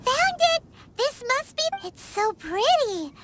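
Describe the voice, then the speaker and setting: Very high, squeaky tiny fairy. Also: female voice, big pitch swings, slow pace, very noisy, full character voice. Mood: delighted. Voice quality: squeaky, childlike. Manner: refers to self in third person, exclamatory. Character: tiny excitable childlike floating fairy companion, female. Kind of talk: anime video game voice acting. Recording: loud game music underneath.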